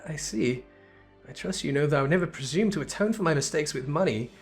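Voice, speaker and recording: A man's voice: a little high, animated, fast talker. An earnest young sultana , voiced by a man, speaks in formal, courtly sentences over music. She is a regal game ruler, gracious and full of remorse, and measures what she says. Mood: gracious, remorseful. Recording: music behind the voice.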